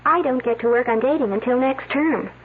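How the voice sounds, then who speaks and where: An actress playing a blunt, defensive young college student in a 1970s radio drama, curt and gossipy. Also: female voice, high voice, brisk, husky. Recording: tinny band-limited old radio broadcast.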